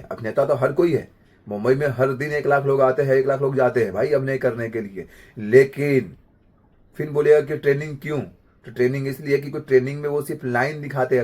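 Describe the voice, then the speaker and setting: A man with a slightly husky voice, giving an informal acting lesson in an emphatic way, repeating phrases and checking in with rhetorical questions. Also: mid-range voice, darkish tone. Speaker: acting coach.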